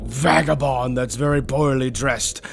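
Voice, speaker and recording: A man's voice: mid-range voice, unhurried, gravelly, music in the background. A man voicing a suave, theatrical demonic bird tempter in a roleplay audio, drawling with mockingly polite, condescending villainy. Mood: mocking, amused. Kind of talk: scripted character roleplay audio.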